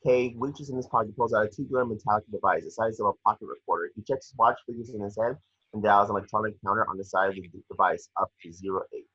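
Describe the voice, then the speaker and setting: A man reads stage directions for a film script in a lowish, slightly husky voice. He is matter-of-fact and trips on the odd word. The home recording is a bit dull. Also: fast talker, sharp tone. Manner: matter-of-fact, with stumbles over words. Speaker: narrator reading action descriptions. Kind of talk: scripted narration at a table read.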